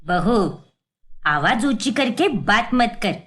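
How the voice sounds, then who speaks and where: A woman's voice: fairly high pitch, sing-song, takes it slowly, a bit bright, exaggerated voice. A woman voicing a stern, thrifty, middle-aged mother-in-law in a family cartoon, with a bossy, commanding manner. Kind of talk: Hindi family-drama cartoon voice acting.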